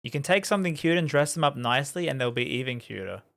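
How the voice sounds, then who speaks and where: A man's voice: medium pitch, expressive, fast, gravelly, a bit bright. A chatty, amused man giving casual commentary as he plays a game. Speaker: gaming streamer.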